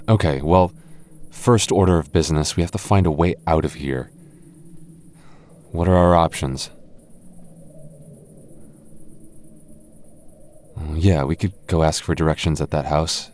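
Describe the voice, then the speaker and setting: A man's voice: deep voice, a little mellow. A young man playing an anxious, easily spooked but protective goth boyfriend in a roleplay audio drama, with a hesitant, stammering, sighing delivery. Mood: uneasy, teasing.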